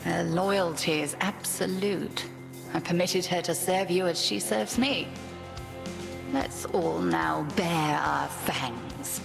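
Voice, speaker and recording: A woman's voice: fairly low pitch, slow, creaky, music in the background, heavily acted. An actress playing a stern, majestic witch mentor in a video game, with a stately, theatrical and wryly commanding delivery.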